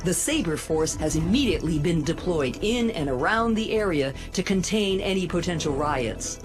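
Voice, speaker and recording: Low-voiced female news anchor over music, played by an actress. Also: lively intonation, moderate pace, close to an everyday voice. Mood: formal, grave. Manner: formal, factual newsreader delivery. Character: composed female TV news anchor in a futuristic city. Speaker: news reporter. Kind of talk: scripted broadcast news report. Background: prominent music.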